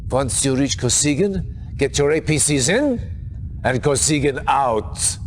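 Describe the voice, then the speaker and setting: An actor playing a grave Allied military commander, briefing troops formally and deliberately in a dramatic game cutscene. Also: male voice, mid-range voice, expressive, natural voice. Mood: grave, urgent. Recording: noisy 1990s game cutscene audio.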